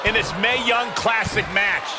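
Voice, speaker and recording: A man giving excited play-by-play wrestling commentary as a commentator. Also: high-pitched, unhurried. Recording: loud arena crowd noise behind. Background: roaring crowd, ring slams.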